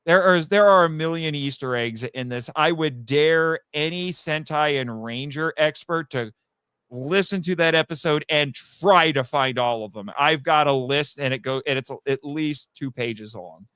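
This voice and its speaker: A man's voice: a little high, unhurried, sharp tone, clear, resonant tone, dry recording. A man talking casually as a podcast guest and audio drama writer, chatty and rambling, with frequent asides.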